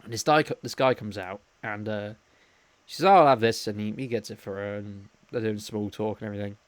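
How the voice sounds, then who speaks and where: A man's voice: on the low side, steady tone, brisk, no echo. Dry-sounding young man telling stories. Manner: rambling, laughs through his stories. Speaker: podcast co-host. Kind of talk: casual storytelling chat.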